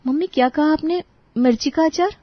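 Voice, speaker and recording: A woman playing a polite but exasperated young daughter-in-law in a dramatized story, expressive in the way she speaks. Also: sits high, fairly quick, no room sound, lo-fi sound, close to an everyday voice. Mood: scheming. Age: young adult.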